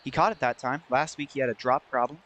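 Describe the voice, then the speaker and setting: A man giving casual play-by-play commentary as host of a gaming video, his voice slightly hoarse. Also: mid-range voice, fairly even intonation, fast, a bit bright.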